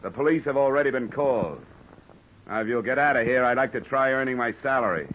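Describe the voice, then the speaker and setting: An actor playing a tough, wry insurance investigator in an old radio drama, clipped and deadpan. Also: male voice, mid-range voice, quick pace, natural voice. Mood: exasperated. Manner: deadpan, clipped hardboiled wisecracking. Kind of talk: 1940s-50s radio detective drama acting. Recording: muffled, noisy old radio broadcast recording.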